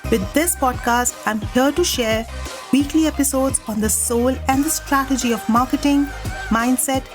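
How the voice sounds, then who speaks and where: A woman hosting a podcast while she has a bad cold, sounding nasal and croaky, talking earnestly and candidly on her own. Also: high voice, normal speed, over music. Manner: earnest, candid, talks with a light laugh now and then. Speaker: podcast host. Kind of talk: solo podcast monologue.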